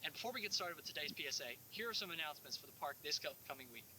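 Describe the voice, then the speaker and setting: A man playing an earnest, polite park ranger presenting scripted announcements in a cheesy low-budget visitor video. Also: lively intonation, very fast, bright, forceful tone. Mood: upbeat, official. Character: cheery, earnest male park ranger hosting safety announcements. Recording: noisy found-footage park video.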